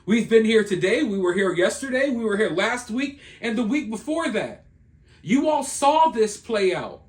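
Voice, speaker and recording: A man with a high voice that has gone hoarse from overuse, sounding worn out. He rants with emphatic indignation, delivering his commentary straight to the camera on his own. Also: sing-song, normal speed. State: tired. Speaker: online video commentator. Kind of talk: solo commentary vlog.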